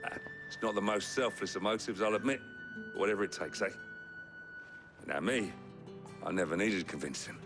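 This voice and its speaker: Male soldier voiced by an actor: low pitch, gallant, practical, plain-spoken, game drama. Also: fairly quick, long pauses, music in the background, natural voice. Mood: gallant, steady. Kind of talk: video game dramatic acting.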